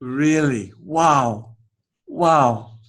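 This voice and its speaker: A man's voice: medium pitch, drawn-out words, a bit bright. A man with a New Zealand accent hosting a video-call interview, affable and rambling, with a self-deprecating manner. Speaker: interview host.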